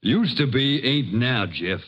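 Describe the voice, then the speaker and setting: An actor playing a righteous, quick-tempered farmer with a rural Texan drawl in a vintage radio western. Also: male voice, medium pitch, lively intonation, fairly bright tone. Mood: guarded, stern. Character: righteous, hard-working farmer, stern and quick-tempered brother. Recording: muffled vintage radio recording.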